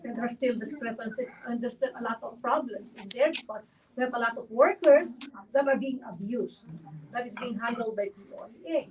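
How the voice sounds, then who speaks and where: A woman's voice: a little high, a little fast, darkish tone, recorded from a distance, a little hiss or hum, low-quality recording. A woman asking an earnest, concerned question from the audience, with hesitations. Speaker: community member asking a question.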